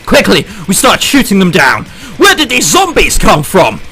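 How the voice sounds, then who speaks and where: A man with a high, bright voice does let's play commentary on a visual novel. He swings widely in pitch, incredulous and sweary between passages read aloud, with music behind him. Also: huge pitch swings, moderate pace. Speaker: gamer reading and reacting to a visual novel. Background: game background music.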